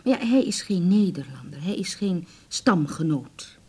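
A woman's voice: on the low side, lively intonation, unhurried, mellow, slightly rough, full character voice. An actress playing an anxious, devoted middle-aged mother in a naturalistic radio play, with a hesitant, sighing, pleading way of talking. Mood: earnest, tender.

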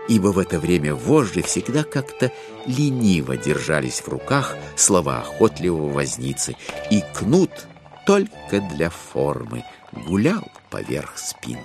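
Male narrator, lowish voice, animated intonation, measured storytelling, literary audiobook, soft music behind.

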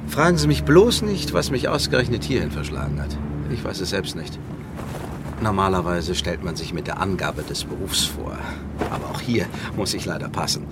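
Lively, ingratiating drifter. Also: male voice, low-pitched, normal speed, heavy background noise. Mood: friendly, chatty. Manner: chatty, ingratiating. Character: affable idle male drifter and fixer, slyly ingratiating. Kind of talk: German radio play acting.

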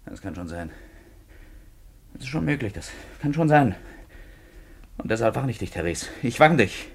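An actor playing a volatile, tormented murderer and resentful lover in a German radio play, agitated and intense, moving between muttering and outbursts. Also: male voice, medium pitch, very animated, moderate pace. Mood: menacing.

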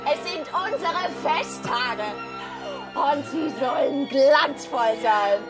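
A woman's voice: high-pitched, animated, slow. An actress playing an impulsive, exuberant woman in love in a radio drama, with a theatrical manner. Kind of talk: literary radio drama acting. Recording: loud string music under the voice.

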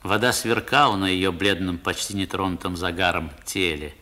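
An actor playing a grown man recalling his boyhood, narrating a 1960s radio drama in a measured, literary way with dramatic pauses. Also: deep voice, fairly even intonation, sharp tone. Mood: reflective.

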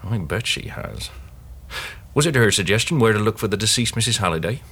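A police inspector in a radio play. He has a bright, forceful voice with lively pitch. His manner is dry, knowing and probing. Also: a little fast, breathy edge, heavily acted. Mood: brisk, knowing. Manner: dry, insinuating. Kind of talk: British radio drama acting.

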